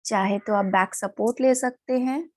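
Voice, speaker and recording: A woman with an Indian accent leads a guided meditation in a calm, soothing manner, leaving long pauses. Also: a little high. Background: background music.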